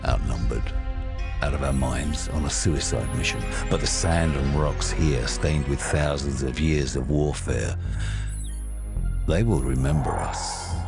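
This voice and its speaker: An actor playing a grim, solemn soldier with a gravelly voice in a dramatic game monologue. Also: male voice, very deep voice, fairly even intonation, measured pace, exaggerated voice. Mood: solemn, resolute. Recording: loud music over the voice.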